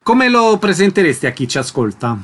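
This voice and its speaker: A man's voice: high voice, big pitch swings, a little fast, fairly bright tone. A man hosting a book interview on the radio, introducing and questioning his guests. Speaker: radio host interviewing authors.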